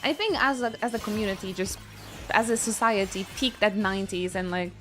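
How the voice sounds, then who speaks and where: A woman's voice: mid-range voice, a little fast. A woman live-streaming a video game, chatting casually and reacting with playful exclamations. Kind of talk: casual chat and reactions during gameplay. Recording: game music behind the voice.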